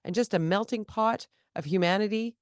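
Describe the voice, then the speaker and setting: A woman's voice: mid-range voice, normal speed, dry recording, clean studio sound. A woman co-hosting a casual political chat podcast, talking animatedly while she has a cold and sniffles. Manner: animated, jumps between topics, quoted asides. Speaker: podcast co-host.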